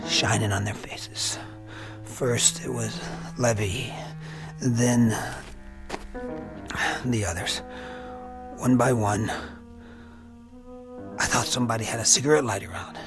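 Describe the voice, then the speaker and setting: An American actor playing a haunted, weary young army lieutenant in a radio drama, speaking with brooding, halting intensity. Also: male voice, mid-range voice, steady tone, music in the background.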